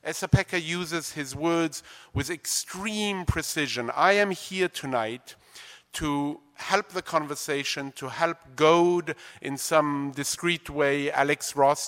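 A man's voice: fairly high pitch, fairly bright tone. A man hosting a library stage event, welcoming guests in an effusive, formal way with playful asides. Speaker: host. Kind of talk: stage introduction and reading. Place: large library event hall. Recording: reverberant auditorium.

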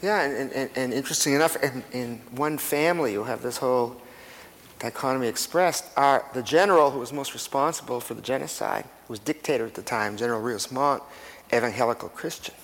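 A man on a panel telling an anecdote, animated, with stammering restarts. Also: mid-range voice, fairly quick. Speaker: author on a panel. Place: lecture hall. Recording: reverberant hall with faint room noise.

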